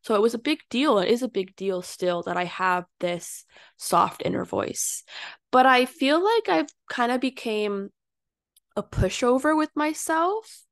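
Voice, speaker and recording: A young woman hosting her own podcast, reflecting aloud in a soft, unhurried way with fillers and restarts. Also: a little high, animated, dry recording, clean studio sound. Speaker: podcast host. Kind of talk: reflective solo podcast monologue.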